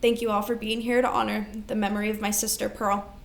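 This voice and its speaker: A woman's voice: mid-range voice, level tone, quick pace, vocal fry, close to an everyday voice. Woman acting an earnest grieving big sister, echoey room. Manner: earnest, emotional delivery. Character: devoted, grieving older sister carrying guilt and responsibility. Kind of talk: staged play reading.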